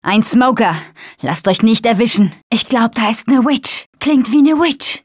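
A woman's voice: on the high side, lively intonation, measured pace, husky, dry recording, studio quality. An actress playing a tough young woman survivor in a dubbed zombie video game, speaking in short, urgent callouts. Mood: wary, hushed.

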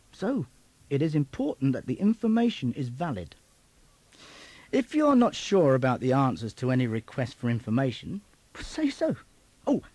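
A man delivers scripted instructional narration in a fairly high voice with lively, swooping intonation. He is emphatic and instructive, recorded very dry and close. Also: dry recording.

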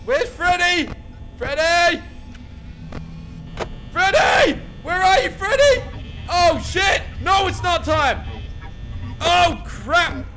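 Frantic young man shouting. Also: extremely high pitch, animated, very slow, pauses often. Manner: panicked, shouting, muttering to himself. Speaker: gaming YouTuber. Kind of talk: horror game let's play. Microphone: over-ear headset.

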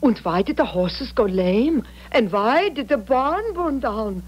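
A woman's voice: a little high, animated, slow pace. An actress playing an emotional, headstrong woman in a 1970s radio drama. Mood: defiant, insistent. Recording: muffled old radio recording, faint noise.

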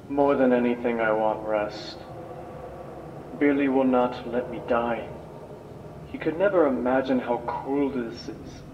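Game role: guilt-ridden elderly male scientist, acted by a man, grave and weary, mid pitch, distant echoey sound. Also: muffled recording, background noise, close to an everyday voice. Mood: pleading, weary. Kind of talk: dramatic video game monologue, amateur voice acting.

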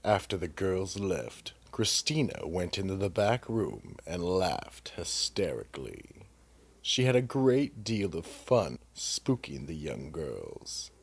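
A man's voice: low voice, big pitch swings, takes it slowly, creaky, husky, no room sound. A man narrating a scripted horror radio drama and announcing its acts.

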